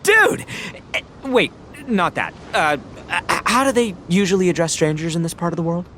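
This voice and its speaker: A man playing a brash, boisterous, dim-witted young oni gang boss in a video game, bombastic and easily excited. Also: sits high, normal speed, slight background noise. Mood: awestruck, thrilled. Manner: bombastic and easily excited, stumbling over formal words.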